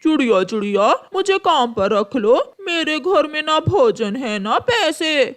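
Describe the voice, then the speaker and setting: A woman voicing an eager, wheedling crow in a children's cartoon, pleading and excitable. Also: sits high, measured pace.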